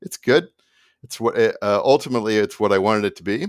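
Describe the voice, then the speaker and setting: A middle-aged man with a notably deep voice chats informally to the camera. He drifts between asides and restarts in a halting, unscripted explainer style. The sound is dry, with very little room echo. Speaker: musician and vlogger. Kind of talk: casual rambling explainer to camera. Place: small indoor room at a desk.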